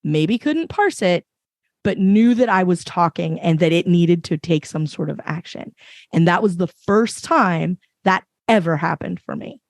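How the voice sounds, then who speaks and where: Woman panelist, low voice with lingering roughness, emphatic and passionate, dry close sound. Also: expressive, a little slow, no room sound. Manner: passionate, emphatic, long run-on points. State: recovering from illness, voice a bit rough. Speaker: podcast panelist. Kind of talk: casual panel discussion.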